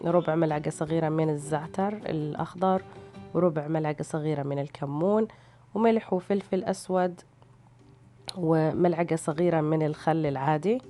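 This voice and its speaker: A woman's voice: low-pitched, steady tone, a little fast, dark tone. A woman with a tired voice, narrating recipes as a cooking host in a casual, hesitant way. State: tired, strained voice. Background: background music.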